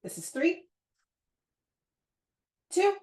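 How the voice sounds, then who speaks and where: Hoarse lady teaching pilates, calm encouraging cues, roomy echo. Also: extremely slow. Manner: calm, encouraging step-by-step cueing. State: hoarse, getting over a cold. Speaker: pilates instructor. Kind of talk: guided pilates class cues.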